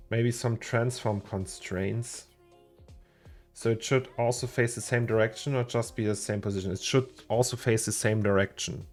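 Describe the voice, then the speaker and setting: A man's voice: on the low side, fairly even intonation, slow, long pauses, darkish tone, soft background music. A man with a German accent streaming his 3D work, chatting casually, thinking aloud and chuckling often. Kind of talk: casual livestream chat while working.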